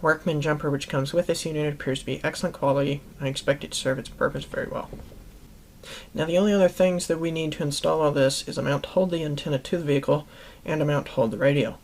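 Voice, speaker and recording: A man's voice: fairly high pitch, steady tone, fast talker, creaky, a little hiss or hum. A man hosting a how-to video, giving instructional narration to viewers. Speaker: host of a how-to product video series.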